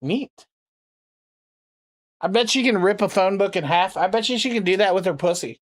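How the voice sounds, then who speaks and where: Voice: medium pitch, expressive, normal speed. A prank caller and streamer chatting casually, with a sarcastic, crude, rambling way of talking. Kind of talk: casual livestream chat and prank calling.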